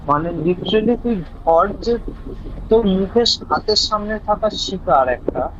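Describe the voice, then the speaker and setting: A young man with a West Bengal Bengali accent telling a personal story casually over a phone call, animated and dropping English words into his speech. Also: sits high, takes it slowly. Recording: dull lo-fi call audio, faint vehicle-like noise.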